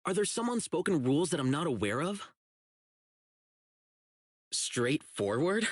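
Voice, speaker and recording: A man's voice: on the high side, sing-song, unhurried, husky, dry recording, clean studio sound, exaggerated voice. An actor playing a blunt, earnest young policeman who is socially oblivious, in video game voice lines. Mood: puzzled, indignant. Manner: blunt, earnest, literal-minded. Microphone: close studio mic, isolated dry voice track.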